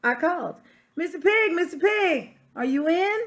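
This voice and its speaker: A woman's voice: very high-pitched, lively intonation, a bit bright, pitched above the performer's own voice, heavily acted. A woman voicing an aggrieved male wolf who tells his side of a children's story in a folksy, self-justifying, emphatic way. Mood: calling out. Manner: dramatic, emphatic, with drawn-out stressed words. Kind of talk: storybook read-aloud for children.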